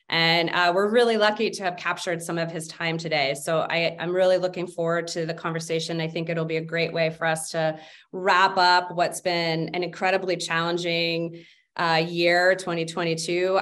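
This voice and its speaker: A woman with a slightly low voice hosting a business webinar. She sounds upbeat and organized, with a few fillers as she lays out the session and introduces the guest. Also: fairly bright tone. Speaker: webinar host.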